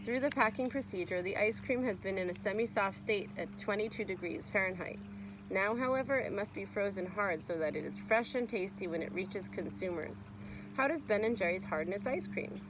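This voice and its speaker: A woman's voice: medium pitch, expressive, brisk, background noise. A woman reading a children's nonfiction book aloud as a teacher would, explaining and speaking to young listeners.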